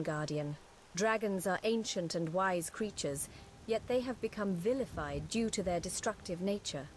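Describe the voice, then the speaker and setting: A courteous young female mage, acted by a woman, speaks as a loyal fantasy game companion in a fairly high voice. Her delivery is earnest, formal and measured, with faint noise on the recording. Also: natural voice. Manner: formal, eloquent, gentle, measured. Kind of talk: scripted fantasy game dialogue.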